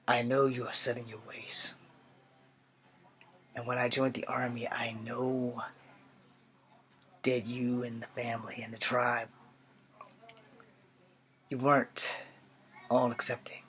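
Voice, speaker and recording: Clear background noise sits under a man's performance of a young male soldier speaking to an elder. He is solemn and proud, in a mid-range voice that is hushed and halting. The delivery is an earnest dramatic monologue. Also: mid-range voice.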